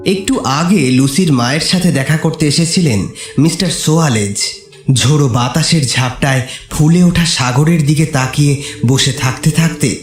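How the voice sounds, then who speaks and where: A man's voice: fairly high pitch, moderate pace. A man narrating a scripted horror story in a dramatic style. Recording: echoey, with music underneath. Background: music.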